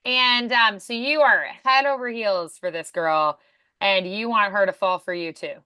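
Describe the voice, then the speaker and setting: Bright, encouraging woman coaching voice acting. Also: mid-range voice, a little slow, clean tone, dry recording. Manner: encouraging, affirming, prompting with questions. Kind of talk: one-on-one coaching, conversational questioning.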